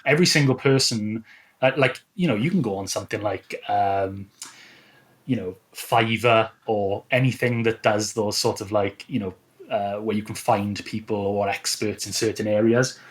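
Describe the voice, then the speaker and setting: A man speaking as a guest author in a casual interview, explaining things in a thoughtful, halting way. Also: fairly low pitch.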